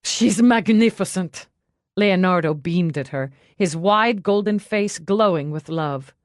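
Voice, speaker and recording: A woman's voice: on the low side, measured pace, no echo, studio quality. A woman reading scripted fiction as an audiobook narrator.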